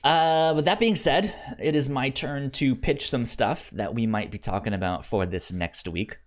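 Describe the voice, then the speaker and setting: A man co-hosting a podcast in casual film chat, halting and hesitant, with a stutter that repeats sounds and words. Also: medium pitch. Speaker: podcast co-host.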